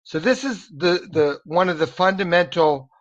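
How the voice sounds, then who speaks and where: A man's voice: sits high, lively intonation, moderate pace. Earnest American man on a muffled video call. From United States. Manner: earnest, encouraging, repeats words while searching for them. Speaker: English tutor. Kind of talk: motivational one-on-one online lesson.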